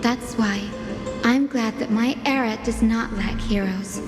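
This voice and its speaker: An actress playing an earnest, gentle, idealistic young student in a video game, speaking in a reflective storytelling manner. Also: female voice, fairly high pitch, unhurried, natural voice. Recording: reverberant hall, music behind.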